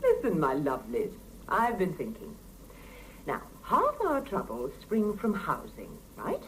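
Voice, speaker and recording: A woman's voice: low-pitched, wildly swooping pitch, measured pace, fairly bright tone, a little hiss or hum, full character voice, low-quality recording. An actress in a 1970s radio play voicing an outspoken, sardonic Soviet working mother with a teasing, emphatic delivery, on an old cassette recording. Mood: playful, persuasive. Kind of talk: 1970s radio drama acting.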